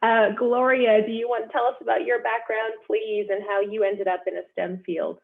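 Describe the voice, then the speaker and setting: A woman moderating a panel, upbeat and quick to laugh. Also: a little high, little pitch movement, gravelly, phone-quality sound.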